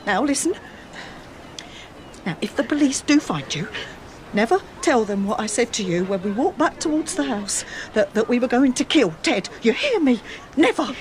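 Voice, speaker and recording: An anxious middle-aged English mother on the run , played by an actress, fairly high in pitch. She speaks in an urgent, protective, hesitant way, as in a radio drama, over faint background noise. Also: lively intonation, moderate pace, close to an everyday voice. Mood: urgent, grieving. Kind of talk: audio drama acting.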